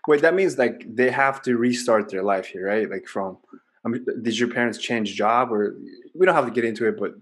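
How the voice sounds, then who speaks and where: A man's voice: mid-range voice, a little fast. Chatty curious podcast host, a man. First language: Kazakh. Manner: chatty, curious, self-deprecating. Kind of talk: casual interview chat.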